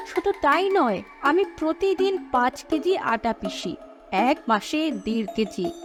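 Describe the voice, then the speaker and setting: A clever, fretful village wife from a cartoon, played by a woman, pleads her case emphatically. Her voice is high and swings widely in pitch, with music underneath. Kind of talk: cartoon story dialogue.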